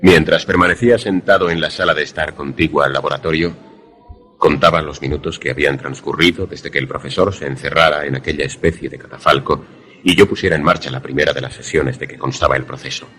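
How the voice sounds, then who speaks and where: An actor playing a troubled man who narrates a suspense radio play in a grave, measured, literary manner. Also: extremely low pitch, steady tone, bright, forceful tone, husky, exaggerated voice. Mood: suspenseful, grave. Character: troubled man recounting an eerie encounter.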